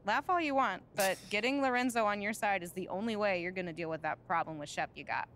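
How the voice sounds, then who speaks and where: A woman acting a self-assured, bossy publicity manager with a mid-pitched voice. She lays out plans briskly and emphatically, in casual game cutscene style. A faint rumble sits underneath. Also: fairly bright tone, close to an everyday voice.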